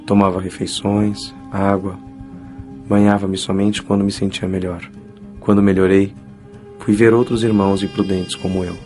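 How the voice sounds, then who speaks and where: A remorseful young male spirit played by a man tells his story in a lowish voice with little swing in pitch. He is an earnest recovered addict in an audio drama, with soft music underneath. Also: level tone, moderate pace, lightly acted. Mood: reflective, grateful.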